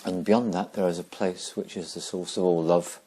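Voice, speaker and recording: A man leading a guided meditation slowly and gently, pausing between short phrases. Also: sits low, fairly even intonation, slightly rough.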